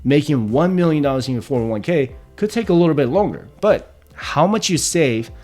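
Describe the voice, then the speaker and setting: A man's voice: on the high side, lively intonation, a little fast, darkish tone, soft background music. A man in his thirties hosting a personal finance explainer, walking through the numbers step by step and often checking in with "right?". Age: 30s. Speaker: personal finance channel host.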